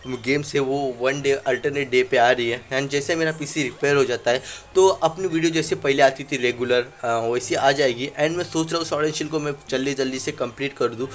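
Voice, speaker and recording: Young guy rambling over his game, lots of fillers and english mixed in, mid pitched, phone mic. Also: normal speed. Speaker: gaming channel host. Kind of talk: casual Let's Play commentary. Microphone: phone recording.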